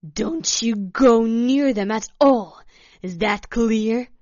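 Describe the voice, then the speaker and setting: A woman storyteller voicing a furious, irritable, demanding woman who snaps and wails loudly. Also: mid-range voice, expressive, extremely slow, no echo, highs cut off. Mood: irritable, angry. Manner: loud, snapping, wailing outbursts. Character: furious, shrill, foul-mouthed woman hiker, irritable and demanding.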